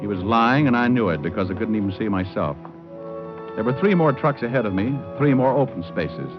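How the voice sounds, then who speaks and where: An actor playing a cool, wisecracking private detective, narrating with dry wit in hardboiled old-time radio style. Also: male voice, fairly low pitch, expressive, fairly quick, natural voice. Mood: wry, cool. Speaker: lead and narrator. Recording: dull old radio recording. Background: music.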